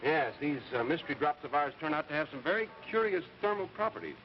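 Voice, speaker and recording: An actor playing a thoughtful, curious scientist in a 1950s radio drama, reasoning briskly aloud. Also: male voice, fairly high pitch, expressive, slight background noise. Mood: intrigued. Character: scientist named Carl, thoughtful, calmly curious man. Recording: muffled old radio broadcast with music.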